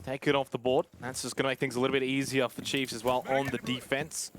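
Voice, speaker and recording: A man commentating an esports match in a relaxed, conversational way, calling the action. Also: medium pitch, fast talker, slight background noise. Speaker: esports caster. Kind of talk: play-by-play commentary.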